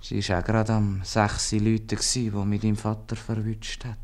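Actor for a homely Swiss German village official, middle-aged, dry, deliberate, lowish voice, old radio comedy. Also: male voice, monotone, darkish tone, natural voice. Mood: thoughtful. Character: dry, slow-witted but kindly town bailiff, a rejected old suitor.

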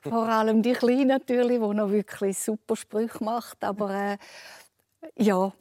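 A woman in her sixties with a Swiss German accent, calm and thoughtful as a radio interview guest. Her voice sits a little high but sounds mellow. The studio sound is dry and close. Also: a little slow, no room sound. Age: 60s. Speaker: former politician guest. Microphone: studio microphone.

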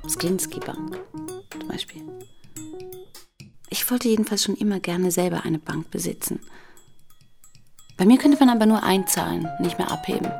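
A woman with a mid-pitched, creaky voice talks conversationally in a radio feature. Guitar music plays clearly behind her. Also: moderate pace, long pauses, darkish tone.

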